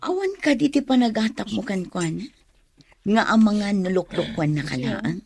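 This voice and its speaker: Lively, frank actress as Ilocano woman co-worker. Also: medium pitch, normal speed, vocal fry, breathy edge. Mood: probing, skeptical. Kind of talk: Ilocano radio drama acting.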